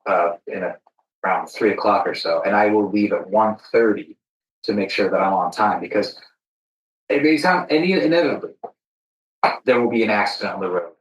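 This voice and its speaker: A man's voice: lowish voice, moderate pace, pauses often, far from the mic, a little hiss or hum. A man hosting a podcast in casual conversation, talking in an informal, chatty way. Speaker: podcast host. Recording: roomy echo, off the mic.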